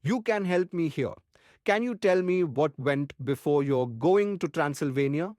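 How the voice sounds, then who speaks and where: An actor playing a kindly, courtly old doctor in an audiobook, speaking formally and earnestly. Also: male voice, fairly high pitch, lively intonation, measured pace, a little mellow, no room sound, studio quality. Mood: earnest request. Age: older. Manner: earnest, courtly, formal, quaint foreign phrasing. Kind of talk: audiobook character acting.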